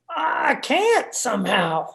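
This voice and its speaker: A woman's voice: high voice, a bit bright, exaggerated voice. A woman acting a meek, henpecked, frightened husband in an old-time radio thriller, timid and stammering.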